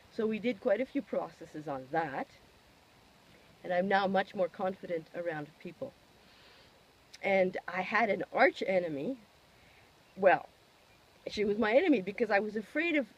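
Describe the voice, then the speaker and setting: Husky-voiced woman giving a testimonial. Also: mid-range voice, rough recording. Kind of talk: personal testimonial monologue.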